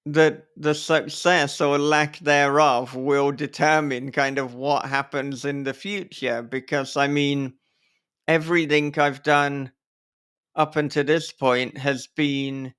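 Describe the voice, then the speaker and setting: A man's voice: on the high side, fairly bright tone, clean tone. Chatty man hosting a casual podcast. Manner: chatty, rambling, chuckles as he talks. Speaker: podcast host and horror author.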